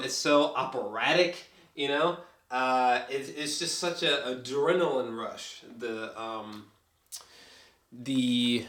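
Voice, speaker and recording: A man giving a casual, unscripted vlog review as an excitable, sweary movie fan who rambles, repeats himself and trails off mid-thought. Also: mid-range voice. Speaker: amateur movie reviewer vlogger.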